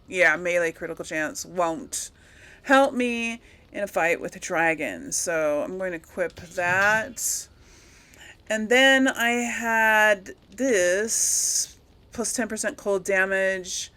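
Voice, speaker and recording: A woman's voice: medium pitch, fairly bright tone. A woman doing casual game commentary, rambling and thinking aloud as she talks to herself. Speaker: gaming video host.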